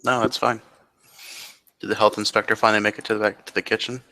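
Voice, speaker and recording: A man playing a calm seer of hidden nightmares in a roleplay, talking in a measured, matter-of-fact way. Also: lowish voice. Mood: measured, reassuring. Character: calm man who sees eerie hidden nightmare visions.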